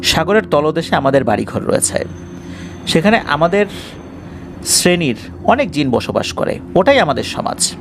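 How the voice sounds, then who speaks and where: A man voicing a gentle, pious female fairy spirit from under the sea in a horror story, coaxing and reassuring. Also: on the high side, sing-song, normal speed, gravelly, long pauses, background noise. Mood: calm, mysterious.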